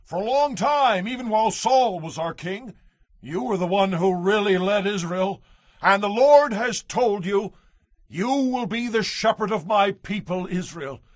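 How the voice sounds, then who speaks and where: A reverent, loyal spokesman of an ancient tribe, has a high voice. He proclaims earnestly and formally in the manner of a dramatized scripture. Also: lively intonation, unhurried.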